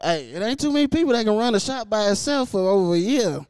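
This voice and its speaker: High, smooth-voiced laid-back man host. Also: very animated, quick pace, a little mellow, no room sound, clean studio sound. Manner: laid-back, slangy, teasing. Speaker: podcast host. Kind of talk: casual interview chat. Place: barbershop.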